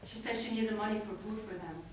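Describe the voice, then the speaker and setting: A young woman reciting a dramatic stage monologue in an intense, theatrical manner with deliberate pauses. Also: medium pitch, steady tone. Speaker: stage performer. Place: indoor stage performance in a theatre or hall. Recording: echoey hall, distant mic, faint noise.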